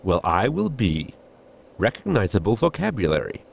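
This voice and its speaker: A man's voice: lowish voice, moderate pace, gravelly, exaggerated voice. A man playing a formal, drily witty, deferential spaceship crewman in a sci-fi comedy audio drama. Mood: matter-of-fact. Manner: deadpan, formal, wordy. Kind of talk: science fiction comedy audio drama acting.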